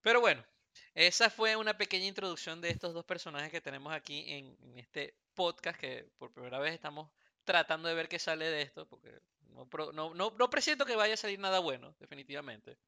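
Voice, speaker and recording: Gravelly bright Venezuelan man, joking host. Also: fairly high pitch, lively intonation, fairly quick, dry recording, clean studio sound. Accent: Venezuelan Spanish. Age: 30s. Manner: joking, rambling with many fillers and restarts. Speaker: podcast host. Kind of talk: casual podcast chat. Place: Houston, United States.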